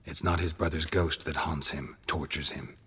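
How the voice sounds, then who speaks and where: An actor playing a grizzled monster hunter who talks in terse, clipped, matter-of-fact sentences. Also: male voice, a bass voice, steady tone, normal speed, pushed and bright, slightly rough, heavily acted. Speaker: game protagonist.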